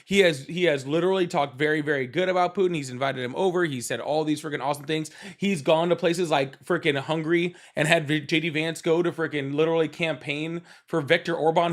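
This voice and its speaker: A man hosting a live political call-in show, pressing a caller with rapid, interrupting questions in a heated debate. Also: on the high side.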